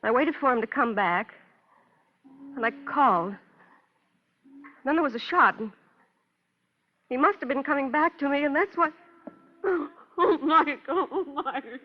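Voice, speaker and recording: An actress playing a distraught, imperious wife fighting for composure, in intense vintage radio melodrama style. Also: female voice, high-pitched, a little slow, long pauses. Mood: grief-stricken. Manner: intense, clipped, repeating pleas and demands. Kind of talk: 1950s radio melodrama acting. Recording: muffled, faintly noisy old radio recording.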